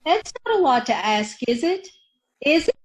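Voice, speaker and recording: A woman's voice: high voice, sing-song, normal speed. A woman acting a grieving, bitter sister in an old-time radio drama, with plain folksy speech and repeated phrases. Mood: bitter, weary. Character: grieving, bitter sister of a committed young mother.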